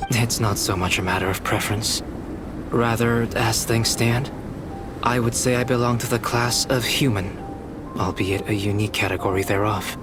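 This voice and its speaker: An actor playing a calm, erudite young alchemist in a video game, speaking in a measured, formal, precise way. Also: male voice, lowish voice, lightly acted. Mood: composed, reflective. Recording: music behind the voice.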